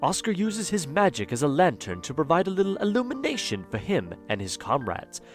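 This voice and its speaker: Guy doing a spooky mocking narrator spirit, kinda high, super animated, theatrical audio drama, music underneath. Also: fairly quick. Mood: storytelling.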